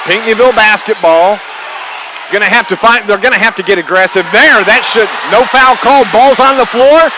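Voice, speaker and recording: A male play-by-play announcer with a distinctly high voice calls a live basketball game for radio. He talks in short, running phrases and often raises his voice. Crowd noise and gym clatter are plainly audible behind him. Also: moderate pace. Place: packed high school gymnasium. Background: crowd, bouncing basketballs.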